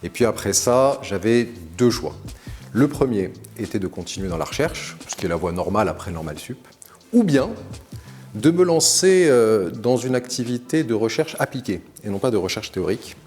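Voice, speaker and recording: A man in his forties who grew up in Morocco, telling the story of his studies and career in a measured, articulate way, with frequent hesitations. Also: fairly low pitch, lively intonation, a little mellow, faint music behind. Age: 40s. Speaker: interview guest. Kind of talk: recounting his career to camera.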